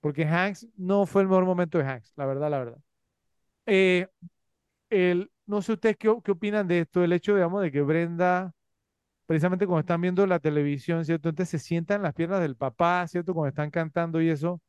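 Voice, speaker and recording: A man's voice: sits high, steady tone, a little fast, darkish tone, clean tone, no echo. A man co-hosting a movie podcast, rambling casually with many fillers and tag questions. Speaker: podcast co-host. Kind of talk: casual movie review chat.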